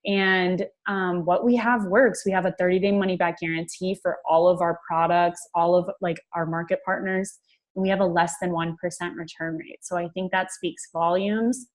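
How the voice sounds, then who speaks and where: A woman giving an informal, enthusiastic sales pitch as a team leader on a conference call. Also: fairly even intonation, moderate pace.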